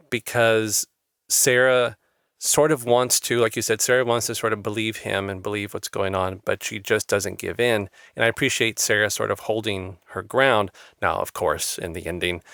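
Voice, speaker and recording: Lower-voiced earnest male interviewer. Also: a bit bright, dry recording, clean studio sound. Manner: rambling, repeats words, earnest. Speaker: interviewer, host. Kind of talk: conversational interview.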